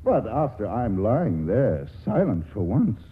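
A man's voice: fairly low pitch, sing-song, a little slow. A glib, wry charmer who won't stop talking, his voice leaping up and down as he jokes. It comes through a tinny old radio broadcast with a faint hiss. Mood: lecturing. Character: flippant witty man facing a gun. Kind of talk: 1940s radio acting.

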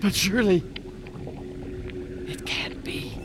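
A man's voice: slow pace, in a whisper, natural voice. An actor playing an alarmed, disbelieving man in a horror radio drama, reasoning with a madman. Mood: uneasy disbelief.

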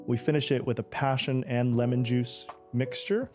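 A man's voice: medium pitch, steady tone, moderate pace, a little mellow, soft background music. A man working as a chef, earnestly talking through the ingredients of a dish he is serving. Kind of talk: presenting a dish.

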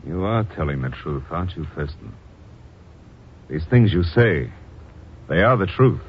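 An actor playing a cold, scheming secret police villain with formal, menacing speech in 1950s radio drama style. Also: male voice, low-pitched, expressive, a little slow. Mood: grim, defeated. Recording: muffled, noisy old radio recording.

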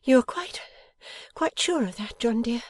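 A man voicing a devoted young wife in an audiobook, tender, earnest and fiercely loyal, with breathy emotion. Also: extremely high pitch, animated, unhurried, no echo, pitched above the performer's own voice, exaggerated voice. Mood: anxious devotion.

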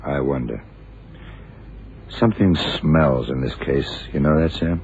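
An actor playing a shrewd, dogged FBI investigator in a radio crime drama, terse and deliberate. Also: male voice, very deep voice, a little mellow, breathy edge, full character voice. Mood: brooding. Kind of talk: 1960s radio drama acting. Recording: muffled, noisy old radio broadcast recording.

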